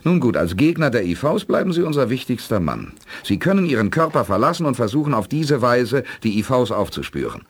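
Commanding, animated space leader. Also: male voice, mid-range voice, a little fast, few pauses. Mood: measured, resolute. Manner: crisp, authoritative orders. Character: commanding male space leader, resolute and urgent. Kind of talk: 1980s German radio play acting.

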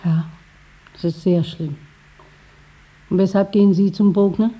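Casting: anxious mother of a grown son, soft dark timbre, medium pitch, chatty, 1950s radio drama. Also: fairly even intonation, a little slow, breathy edge. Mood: worried. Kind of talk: 1950s German radio play acting.

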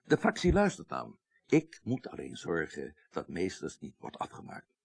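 An actor playing a shrewd, wry, middle-aged senior politician in a radio drama, speaking Netherlands Dutch with a gravelly, urbane delivery. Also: male voice, low-pitched, huge pitch swings, normal speed, no echo, clean studio sound, heavily acted. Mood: frank, reflective. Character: shrewd, worldly male senior politician, wry party leader. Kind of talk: 1980s radio drama acting.

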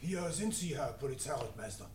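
An actor playing a dutiful, slightly dim police clerk in a radio play, giving short replies. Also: male voice, medium pitch, lively intonation, fairly quick, a little mellow, slight background noise, close to an everyday voice.

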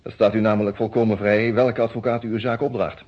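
Deep, husky, formal lawyer. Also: male voice, level tone. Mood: businesslike. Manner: measured, formal, businesslike, hesitant 'eh' pauses. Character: formal, cautious male defence lawyer who also narrates. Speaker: actor in a radio detective serial. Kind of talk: radio play acting and narration. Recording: dull, muffled old radio recording.

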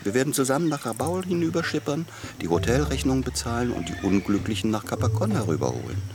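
A man's voice: medium pitch, big pitch swings, quick pace. An actor playing an effusive, self-absorbed German pianist in a radio play, savoring his words rapturously. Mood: cheerful, eager.

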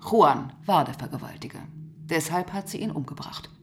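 Low-voiced, composed female police inspector. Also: expressive, moderate pace, noisy background. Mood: composed, probing. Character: female police inspector, composed and probing, narrating her case. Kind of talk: radio crime drama, first-person narration and dialogue. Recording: studio radio drama with music.

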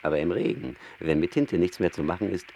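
A droll onlooker in a radio play. His low voice crackles with fry and moves about in lively swings, wry and chatty, over faint noise. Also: fast. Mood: wry, speculating. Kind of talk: German radio play dialogue. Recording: faint background noise and ambience.

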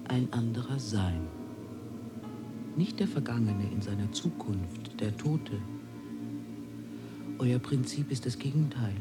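Slow, solemn alien sage. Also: male voice, mid-range voice. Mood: grave, insistent. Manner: solemn, pronouncing. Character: grave, aloof philosophical alien authority on the moon. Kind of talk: German radio drama acting.

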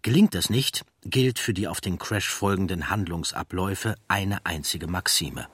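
A man reading scripted documentary narration in a measured, serious and factual manner. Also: low voice, a bit bright, breathy edge, no echo, studio quality.

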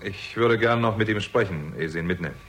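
A hard-boiled man with a low, rough-edged voice, sardonic and quick to temper. His dry, biting sarcasm suits a 1960s German radio crime play. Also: fairly bright tone. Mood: dry, guarded. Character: sardonic, hot-tempered hard-boiled talent agent and amateur sleuth. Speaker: lead actor and first-person narrator.

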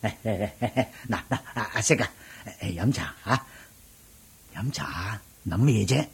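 An actor playing a doting, fussy older husband in a Cantonese radio drama, with a Hong Kong accent and a coaxing manner. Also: male voice, fairly low pitch, animated, slight background noise. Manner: chuckling, coaxing, drawn-out particles and repeated interjections.

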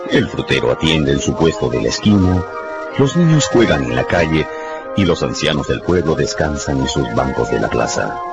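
A man's voice: very deep voice, very animated, normal speed, vocal fry, muffled recording, over loud music. A man giving dramatic scripted narration of a radio story.

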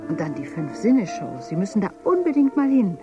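A woman's voice: mid-range voice, big pitch swings, a little fast, lo-fi sound, over music, close to an everyday voice. An actress playing a troubled woman who works as a paid conversation companion in a dystopian radio drama, talking compulsively. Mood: rambling, enthusiastic. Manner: compulsive, rambling talk. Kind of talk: German radio drama acting.

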